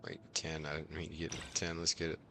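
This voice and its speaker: A man's voice: very deep voice, completely flat delivery, fast talker. A man giving casual live game commentary as a streamer, musing aloud and answering his chat. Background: game music.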